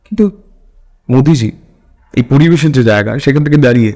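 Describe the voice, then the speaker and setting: A man with a West Bengal Bengali accent interviewing conversationally, halting and rephrasing his points as he goes. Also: medium pitch, mellow.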